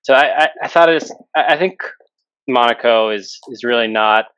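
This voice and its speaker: A man's voice: medium pitch, moderate pace, fairly bright tone, smooth, dull audio. A man hosting a casual podcast chat, thinking aloud and stumbling over repeated words. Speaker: podcast host. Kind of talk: casual discussion.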